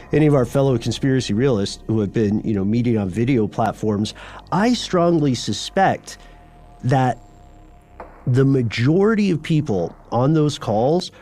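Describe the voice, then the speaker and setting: A man's voice: mid-range voice, expressive, normal speed, mellow. A man co-hosting a podcast in casual, bantering chat, with a wry, playful and rambling way of talking. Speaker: podcast co-host. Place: remote recording, dry close sound.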